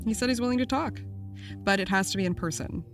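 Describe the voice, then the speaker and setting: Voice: medium pitch, fast talker, over music, close to an everyday voice. An actor playing a breezy, dry-witted podcast producer who talks in a blunt, offhand way. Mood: teasing, blunt. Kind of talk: scripted casual chat.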